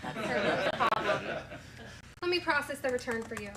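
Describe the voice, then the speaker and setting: A woman's voice: on the high side, lively intonation, a little fast, background noise. A woman playing a dry, polite returns clerk. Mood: deadpan polite. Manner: dry, polite customer-service delivery. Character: patient female store returns clerk. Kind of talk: live improv sketch.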